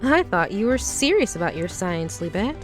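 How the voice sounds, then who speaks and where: A woman's voice: medium pitch, sing-song, normal speed, faint music behind, close to an everyday voice. An actress playing a shrewd, determined female lab assistant in a fantasy audio drama, assertive, persuasive and businesslike. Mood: cool, businesslike. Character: shrewd, determined woman lab assistant, street-smart schemer.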